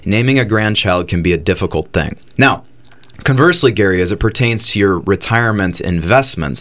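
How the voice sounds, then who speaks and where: A man's voice: fairly low pitch, a bit bright. A man hosting a radio money show, patiently explaining retirement accounts to a caller. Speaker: financial advisor radio host. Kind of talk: explaining finances on call-in radio.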